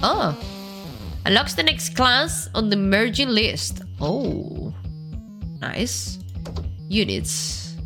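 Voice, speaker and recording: Slow-talking woman with a cold. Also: on the high side, big pitch swings. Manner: chatty, thinks aloud while playing, hums along. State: has a cold. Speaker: gaming video host. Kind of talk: casual let's-play commentary. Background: game music.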